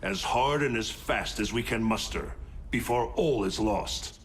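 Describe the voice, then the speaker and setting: An actor playing a solemn, commanding male warrior leader in a video game, formal and deliberate. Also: lowish voice, a little hiss or hum, close to an everyday voice. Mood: solemn, urgent. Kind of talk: cinematic video game acting. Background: faint music.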